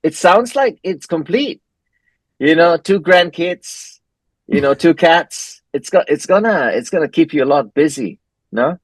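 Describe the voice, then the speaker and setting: A man's voice: on the high side, expressive, measured pace, slightly rough, no room sound. A man hosting an interview show over a video call, agreeing often and laughing along. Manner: warm agreeing backchannels, laughs. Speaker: podcast host.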